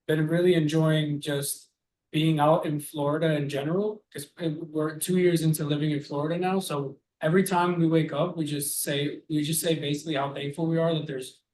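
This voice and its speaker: Relaxed man from Albania, higher voice. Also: normal speed, rough recording. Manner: relaxed, rambling with restarts. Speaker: personal trainer, gym co-owner. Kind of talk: casual interview talk.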